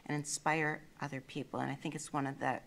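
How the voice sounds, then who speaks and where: A woman in her sixties, a school board member, giving earnest, measured remarks through a desk mic in a meeting room. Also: deep voice, flat delivery, gravelly. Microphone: desk gooseneck mic.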